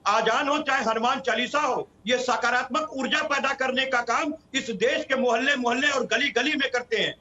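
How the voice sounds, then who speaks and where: A man's voice: extremely high pitch, moderate pace, hardly any pauses, pushed and bright, dull audio. A man arguing as a party spokesman in oratorical, long listing sentences over a band-limited feed. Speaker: political party spokesman, debate guest. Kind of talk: political debate argument.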